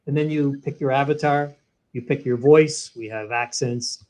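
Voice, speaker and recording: A man, a guest in an interview, explaining a software product in an animated way, walking through each step. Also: mid-range voice, moderate pace. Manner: animated, walks through steps one by one. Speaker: guest, sales training software executive.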